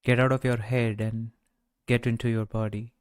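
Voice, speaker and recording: A man guiding a meditation in a gentle, measured way, speaking off the cuff with long pauses. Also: medium pitch, little pitch movement, smooth, no room sound, studio quality. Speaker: meditation guide. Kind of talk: guided meditation, unscripted talk.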